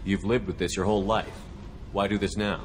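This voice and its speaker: An actor playing a steady, commanding squad leader in a video game, with a firm, measured, probing manner. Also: male voice, deep voice, lightly acted. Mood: firm, questioning. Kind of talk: video game dialogue acting.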